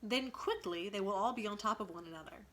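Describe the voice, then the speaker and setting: A woman reading a storybook aloud as a teacher, in an expressive, instructive way. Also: mid-range voice, a little fast. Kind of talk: storybook read-aloud with explanations.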